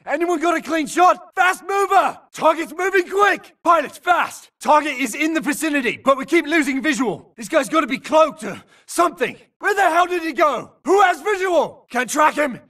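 An actor playing an urgent, alert infantry grunt in a video game, shouting clipped military callouts in battle. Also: male voice, very high voice, lively intonation, normal speed, hardly any pauses, far from the mic, heavily acted. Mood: urgent, frustrated. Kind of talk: shouted combat barks.

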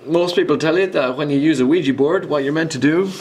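A man's voice: on the high side, fast, darkish tone. A man in his twenties with an Irish accent, talking to camera in a casual, self-mocking adventure vlog. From Ireland. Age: 20s. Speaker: vlogger.

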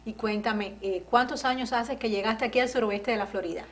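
A woman's voice: a little high, a little fast, a bit bright. A middle-aged woman hosting a TV studio interview, welcoming her guest and asking prompting questions on a lapel mic. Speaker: TV host. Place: TV studio set.

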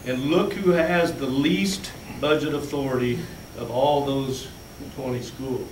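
A man's voice: medium pitch, a little slow. Man, plainspoken budget presenter, distant room camera, echoey. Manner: plainspoken, walks listeners through handouts step by step. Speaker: school business official presenting budget. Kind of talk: explaining figures at a school board meeting. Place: large meeting room with fluorescent lights. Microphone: far room camera. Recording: echoey room, distant mic, faint hum.

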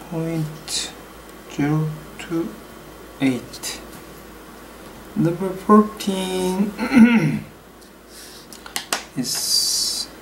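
Slow, hesitant man with a Korean accent. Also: fairly high pitch, slight background noise, rough recording. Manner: slow and hesitant, full of pauses and fillers, reading numbers aloud. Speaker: hobby mechanic explaining engine work. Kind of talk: thinking-aloud tutorial. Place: small room at home.

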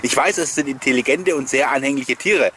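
A man's voice: medium pitch, fairly quick, background noise. A man hosting a nostalgic video with chatty, rambling voice-over commentary and personal anecdotes, often chuckling as he goes. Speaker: host of a collectors' nostalgia video channel.

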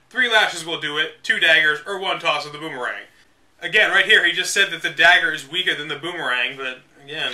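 A man with a high, very sharp and forceful voice hosts a read-aloud. He delivers the text dramatically, then drops into casual, mocking commentary with an exasperated edge. He sounds set back a little from the microphone. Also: far from the mic. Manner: sarcastic, exasperated. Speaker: host reading a game guide aloud with commentary.

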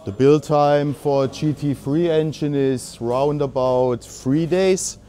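A man's voice: fairly high pitch, fairly even intonation, slow pace, dark tone. A man in his forties explaining engines to a group during a tour of an indoor workshop, in a plain, technical way. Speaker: engine builder.

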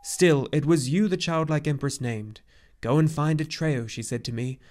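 A man's voice: a little high, moderate pace, a little mellow, pitched above the performer's own voice, close to an everyday voice. A man voicing a very old, grave centaur in an audiobook story, with an emphatic, exclamatory manner. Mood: calmer, grave. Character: very old agitated centaur messenger, grave and weary.

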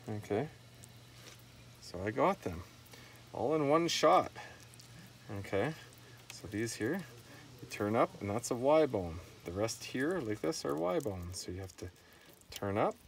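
A middle-aged man giving a relaxed, hands-on fish filleting demonstration at an outdoor cleaning table, talking as he works. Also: medium pitch, creaky, recorded from a distance, slight background noise. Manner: relaxed, talks while working, repeats "see that". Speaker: fishing video host.

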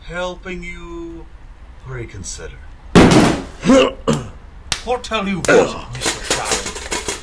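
An actor playing a smooth-talking, threatening Irish gangster enforcer in an old-time radio drama. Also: male voice, noisy background. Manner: smooth-talking, threatening, drops to a low growl. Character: menacing Irish gangster enforcer, middle-aged man.

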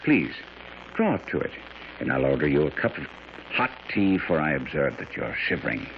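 An actor playing a brisk, courteous, self-assured gentleman detective with urbane, dryly polite manner in a radio drama. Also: extremely low pitch, very animated, creaky, full character voice. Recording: muffled radio broadcast, faint noise.

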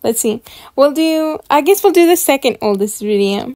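A woman hosting casual reaction commentary in a bubbly, gushing, excited manner. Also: high-pitched, moderate pace, no echo, studio quality. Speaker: YouTube host reviewing channels.

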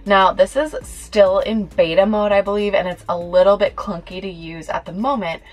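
A woman's voice: medium pitch, steady tone, soft background music. A young woman vlogging, giving earnest, upbeat camping advice straight to the camera from her van and a forest campground. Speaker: travel vlogger. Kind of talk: casual explainer to camera. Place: inside a camper van and outdoors in a forest campground. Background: faint ambience, a river rushing nearby.

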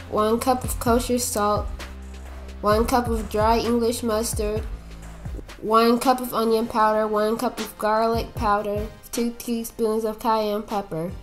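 Flat-voiced woman reading a spice recipe. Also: fairly high pitch. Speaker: family member demonstrating a recipe.

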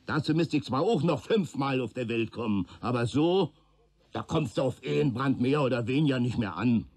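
An actor playing a sullen, cynical arson suspect in a German radio play, grumbling in colloquial speech. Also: male voice, medium pitch, lively intonation, normal speed, a little mellow.

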